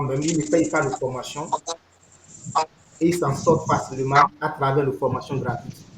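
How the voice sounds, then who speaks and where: A man's voice: fairly high pitch, echoey room, noisy background. A young man from Benin with a Beninese French accent, giving an online training session and checking in with his listeners as he explains. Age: young adult. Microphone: video call.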